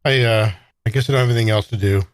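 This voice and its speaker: A man's voice: mid-range voice, steady tone, racing, dry recording. A man playing a tabletop game, chatting casually and describing his character in a musing, hesitant way. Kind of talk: casual improvised role-play chat.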